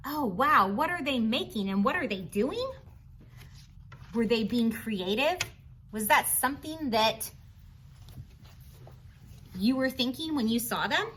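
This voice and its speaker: A woman's voice: sits high, a little hiss or hum. A woman reading a picture book aloud to young children, chatting to them directly with questions and encouraging asides. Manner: animated, questioning, encouraging, addresses listeners directly. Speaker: children's read-aloud presenter.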